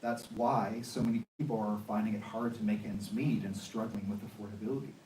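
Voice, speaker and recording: A man speaking as a political candidate, explaining his case in a measured, lecturing way in a debate answer. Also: on the low side, steady tone, dark tone. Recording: echoey hall, distant mic, faint noise.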